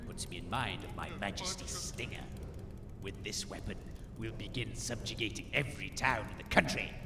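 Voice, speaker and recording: A man's voice: on the low side, big pitch swings, moderate pace, gravelly, over loud music. A man playing a pompous, theatrical, easily flustered cult villain in a comic audio drama, grandiose and given to muttering. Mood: menacing, gloating. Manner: grandiose, menacing, muttering to himself. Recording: noisy, long cavernous reverb.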